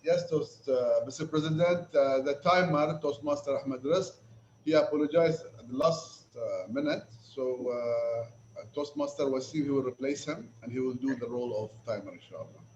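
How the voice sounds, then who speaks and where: A man reporting agenda changes in an online club meeting, halting with many fillers. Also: medium pitch, darkish tone, a little hiss or hum. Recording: roomy, slightly dull online call audio.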